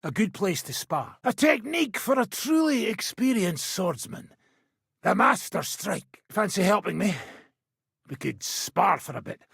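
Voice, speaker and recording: Casting: a seasoned fighting man for a medieval game, gruff and bossy tutor, raspy tone with big swings in pitch, recorded dry and close. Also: lively intonation, unhurried, a bit bright, dry recording, full character voice. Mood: gruff, casual. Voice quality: rough, gravelly. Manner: blunt, commanding, instructive. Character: gruff, impatient seasoned male mercenary swordsman teaching combat. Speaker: video game character, sword-fighting trainer. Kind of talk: medieval video game voice acting.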